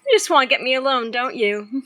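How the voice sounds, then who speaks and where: A woman's voice: sits high, expressive, fairly quick, a bit bright, soft background music. A woman playing a dry, teasing wife trading banter in an audio drama.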